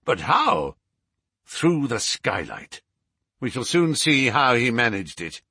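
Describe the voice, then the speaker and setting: A man voicing a keen, commanding male detective in a storybook, with brisk, formal, authoritative phrasing. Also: mid-range voice, sing-song, pushed and bright, slightly rough, dry recording, clean studio sound, heavily acted. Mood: decisive.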